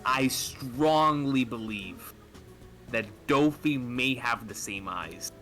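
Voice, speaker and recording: A man talking casually and enthusiastically as a fan on a podcast, halting and rambling as he lays out his thoughts. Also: medium pitch, bright, forceful tone, faint music behind. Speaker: podcast speaker discussing manga theories.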